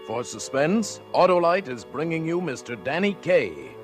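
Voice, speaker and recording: A man announcing a 1940s radio show in a formal, grand, deliberate style. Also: fairly high pitch, very animated. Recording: 1940s radio broadcast over music.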